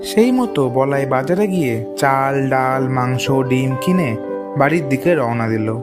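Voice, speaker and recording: A man's voice: mid-range voice, lively intonation, unhurried. A man reading scripted narration for a children's moral story cartoon. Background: music.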